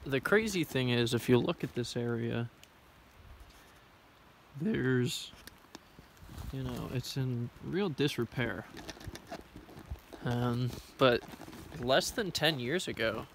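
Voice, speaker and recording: A man's voice: mid-range voice, slow, creaky, pauses often, a bit bright. A man in his twenties talking casually and a little hesitantly as he walks, vlogging into a handheld phone outdoors. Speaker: hiking vlogger. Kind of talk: casual rambling vlog commentary while walking. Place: outdoors on a forest railroad trail. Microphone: phone camera at arm's length. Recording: noisy outdoor handheld recording.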